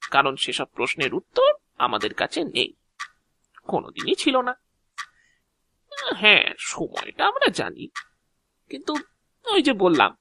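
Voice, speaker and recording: An agent of Death, played by a man, explains his job with bureaucratic courtesy and theatrical pauses. His voice is bright and crisp, swinging widely in pitch, and very closely, dryly recorded. It is audio drama acting, faintly amused and deliberate. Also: dry recording, full character voice.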